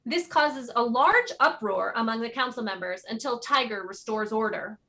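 A woman's voice: a little high, normal speed, sharp tone. A woman narrating the stage directions of a play from a script.

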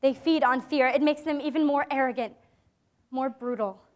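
A young woman in a staged reading, playing a gentle, hopeful teenage girl, with earnest, measured, articulate speech. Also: high voice, fairly even intonation, close to an everyday voice. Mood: earnest, thoughtful. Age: young adult. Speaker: actor in a dramatic reading. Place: theatre-like stage in a conference hall. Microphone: lapel.

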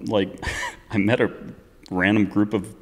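Low-voiced laid-back man in his thirties. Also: flat delivery, slow pace, in a large hall. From US East Coast. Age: 30s. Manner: laid-back, swears casually, trails off often. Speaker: guest, racing co-driver. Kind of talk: casual storytelling chat.

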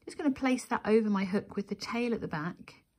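A woman's voice: medium pitch, brisk, a little mellow, breathy edge. A woman narrating a step-by-step craft tutorial as the instructor, methodical and counting steps aloud.

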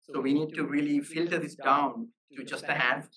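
A man presenting as a software engineer at a meetup, explaining technical material in halting phrases. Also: on the high side, fairly even intonation, a crowd in the background. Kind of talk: technical conference talk. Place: live meetup talk. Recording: voice slightly distant from microphone.

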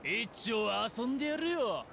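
High-pitched, excitable street punk. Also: male voice, unhurried, a little hiss or hum, heavily acted. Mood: cocky, sneering. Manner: rough, slangy, excitable. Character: cocky young street gang punk, cowardly underneath. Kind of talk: video game cutscene voice acting.

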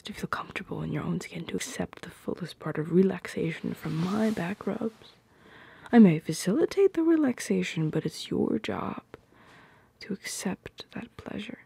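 A woman voicing a tender, playful, possessive girlfriend in an ASMR roleplay, speaking softly and intimately close to the microphone in a breathy, often whispered voice. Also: lowish voice, dry recording, full character voice. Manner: soft, intimate, unhurried, teasing. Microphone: close microphone, dry.

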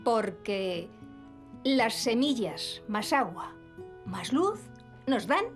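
Highish, lively Castilian cartoon schoolteacher. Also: female voice, extremely slow, faint music behind, exaggerated voice. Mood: encouraging. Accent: Castilian Spanish. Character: encouraging female preschool teacher, kind and patient. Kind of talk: children's cartoon dub acting.

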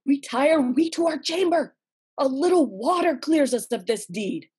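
A woman playing a steely, scheming noblewoman in an amateur read-through of a classical verse drama, in a measured, dramatic manner. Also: high-pitched, expressive, background noise. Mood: scornful. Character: scheming, steely wife of a murderous lord. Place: small room at home, indoors. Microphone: computer mic on a video call.

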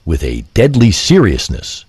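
A man's voice: sits low, wildly swooping pitch, unhurried, a little mellow, slightly rough, dry recording. A man narrating an audio drama in a grandiose, literary, theatrical style. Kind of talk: theatrical scripted story narration.

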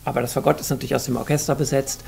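A middle-aged man, the musical arranger, speaks thoughtfully in an indoor interview, pausing with fillers as he searches for words. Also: medium pitch, flat delivery, vocal fry, darkish tone. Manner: thoughtful, hesitant with fillers, gestures while talking.

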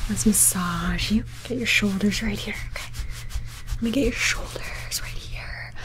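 A woman voicing a playful, doting pet cat in an ASMR roleplay, whispering softly and meowing between her words. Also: mid-range voice, a little slow, close to an everyday voice, rough recording. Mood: whispery, playful. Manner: soft whispering with repeated meows.

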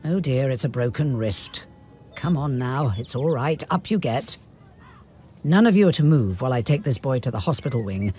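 Performer playing woman sports teacher, authoritative, brisk, lively swoops, game character, music behind. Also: mid-range voice, natural voice. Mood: flustered concern. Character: brisk, commanding female flying instructor. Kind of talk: video game character acting.

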